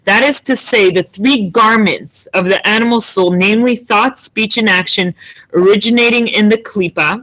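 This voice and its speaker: A woman's voice: medium pitch, normal speed, fairly bright tone, rough recording. Woman teaching a scripture class online. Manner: reads a Hebrew line, then translates and explains it; conversational, with restarts and checks of "okay?". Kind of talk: online text class, reading and explaining. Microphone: video call.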